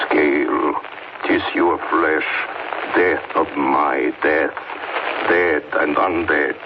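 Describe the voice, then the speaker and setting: An actor playing an ancient, commanding, seductive vampire lord in a solemn, incantatory 1930s radio horror style. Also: male voice, very deep voice, exaggerated voice. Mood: incanting. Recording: muffled, very noisy 1938 radio broadcast, distant.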